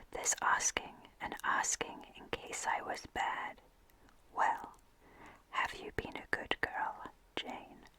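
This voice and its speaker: Slow breathy asmr whisper reading kids' poems, bright airy tone. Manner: soft unvoiced whisper throughout. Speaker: reader of children's poems.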